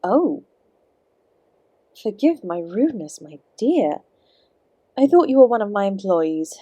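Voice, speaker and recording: A woman acting as the Devil herself, an ancient and charming Princess of Darkness in an audio drama. She speaks at a medium pitch with genteel, theatrical courtesy and a sardonic, teasing edge. The sound is dry and intimate, addressed straight to one listener. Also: very animated, slow, dark tone, slightly rough, dry recording, exaggerated voice. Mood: gracious, coaxing. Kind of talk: scripted audio roleplay, addressing the listener directly.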